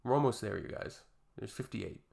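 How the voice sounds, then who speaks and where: A man's voice: fairly low pitch, moderate pace, gravelly, a little mellow. A young Canadian man hosting a reaction video, reading posts aloud and adding dry, sarcastic, exasperated remarks.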